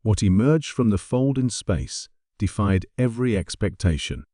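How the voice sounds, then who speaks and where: A man reading a scripted science fiction story in a measured, dramatic storytelling manner. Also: on the low side, expressive, dark tone, no echo, studio quality. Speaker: story narrator.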